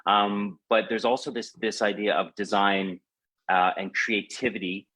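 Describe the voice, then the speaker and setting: A man with a fairly low voice hosts a casual live interview. He talks informally and haltingly, often rewording his questions midway. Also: little pitch movement, sharp tone, poor audio. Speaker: interview host.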